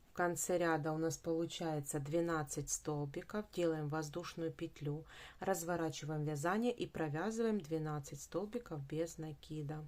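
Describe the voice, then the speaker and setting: A woman with a low-pitched voice leads a craft tutorial. She explains things step by step, in a methodical manner suited to teaching. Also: darkish tone. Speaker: crochet tutorial host.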